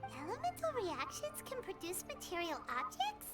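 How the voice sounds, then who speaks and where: A tiny, excitable fairy companion from a video game. The voice is extremely high and childlike, swinging animatedly with curiosity, over clearly audible music. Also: female voice, moderate pace, exaggerated voice. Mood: puzzled, impatient. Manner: speaks of herself in the third person, exclamatory.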